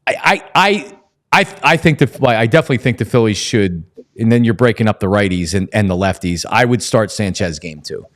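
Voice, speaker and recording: A man's voice: lowish voice, expressive, a little fast. A man hosting a casual sports chat in an eager way, often stammering over repeated words. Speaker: sports show host.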